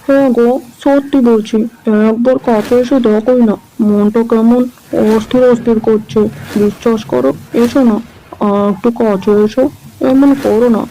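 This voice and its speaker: A woman's voice: on the high side, fairly even intonation, moderate pace, dark tone, close to an everyday voice. A woman voicing a lecherous, cowardly male villain in a horror cartoon, wheedling and pleading. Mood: lecherous coaxing. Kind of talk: Bengali horror cartoon voice acting. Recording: noisy background ambience.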